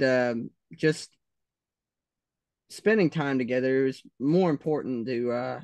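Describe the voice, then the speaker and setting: A man with a Southern US accent answers interview questions at a very slow, halting pace, filling gaps with "um" and "uh". His voice is smooth and clear. It is recorded dry, with no room sound. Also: mid-range voice, no echo. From United States. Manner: slow, hesitant, many pauses and fillers.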